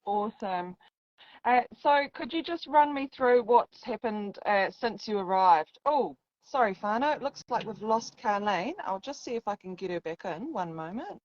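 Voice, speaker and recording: A woman's voice: mid-range voice, lively intonation, moderate pace, no echo. A woman with a New Zealand accent hosting a live-stream interview in an informal, encouraging way. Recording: dull lo-fi live stream audio.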